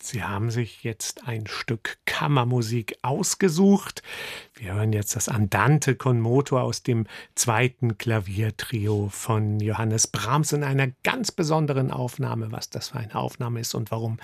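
Gravelly-voiced male radio host. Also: medium pitch, dry recording, clean studio sound. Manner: measured, polite questions. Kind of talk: radio interview. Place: radio studio.

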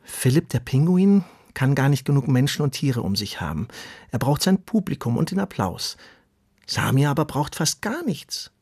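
A man voicing a curious, restless young male earthworm who talks in a high, excited, pleading way in a children's bedtime story. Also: moderate pace, husky, no echo, studio quality. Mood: wondering.

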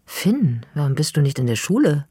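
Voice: medium pitch, big pitch swings, moderate pace, slightly rough, no room sound, clean studio sound. Eine vorlesende Stimme spricht eine besorgte, sanfte junge Mutter in einem Hörbuch. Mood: worried. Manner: soft, concerned. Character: young-looking mother, worried and gentle.

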